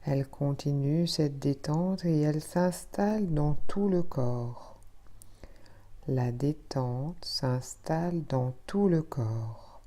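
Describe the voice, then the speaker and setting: A woman leads a guided relaxation as a yoga teacher. Her voice is low and soft-toned, hushed and soothing. She speaks extremely slowly and in a measured way, gently repeating her phrases.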